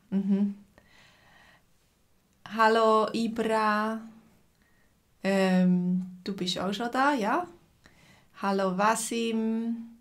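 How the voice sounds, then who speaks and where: A woman with a Swiss German accent teaching a live stream lesson. She greets viewers by name and explains things slowly and simply, with many fillers. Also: mid-range voice. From Switzerland.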